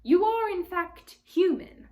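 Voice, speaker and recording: A woman's voice: very high voice, takes it slowly, darkish tone, voice pushed up. A woman voicing a friendly, easily flustered male faun from a storybook, speaking politely and formally with hesitant repetitions. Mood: flustered, inquisitive. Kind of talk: storybook character read aloud.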